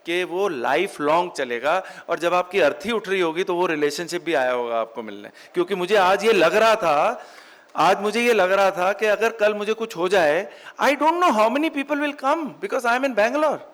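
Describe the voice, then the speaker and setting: Fast, high-pitched North Indian man giving keynote. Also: animated, a bit bright. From Chandigarh, India. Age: middle-aged. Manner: emphatic, repeats phrases in parallel for effect, switches between English and Hindi. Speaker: keynote speaker, real estate executive. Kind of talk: motivational business keynote speech.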